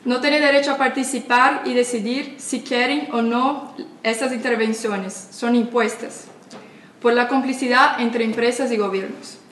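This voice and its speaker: A Brazilian woman with a Portuguese accent delivers an earnest, declamatory speech at a formal event, with a few slips and restarts. Her voice sits fairly high. She is heard from a distance in a large, echoing hall. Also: fairly even intonation, moderate pace, a bit bright, slight background noise. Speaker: activist speaker. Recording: echoey hall, speaker away from the mic.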